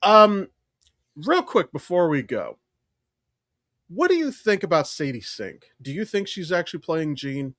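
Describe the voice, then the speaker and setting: An American man co-hosting a podcast in casual chat, rambling and halting as he thinks aloud. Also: on the high side, big pitch swings, a bit bright, no room sound, clean studio sound. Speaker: podcast co-host. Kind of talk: casual chat about comics and films.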